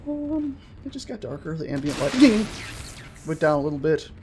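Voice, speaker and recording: A man giving casual, chatty let's-play commentary on a horror game, full of jokey asides and an excitable, jumpy manner. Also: on the high side, normal speed, background noise. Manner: jokey, chatty asides to himself and the game's characters; jumpy, excitable, prone to swearing shouts. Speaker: gaming video host.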